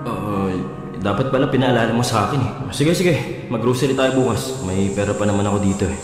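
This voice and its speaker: A man's voice: fairly low pitch, brisk, lightly acted. A man playing an immature, defensive young husband who argues in a whiny way in a radio drama. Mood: sheepish, evasive. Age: young adult. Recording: very echoey, reverberant, music behind.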